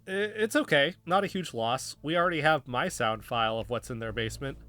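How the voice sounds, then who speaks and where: A man's voice: mid-range voice, animated, fairly quick, bright, forceful tone, no echo, faint music behind. An actor playing a defensive, loyal male investigator in an audio drama styled as a podcast. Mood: dismissive.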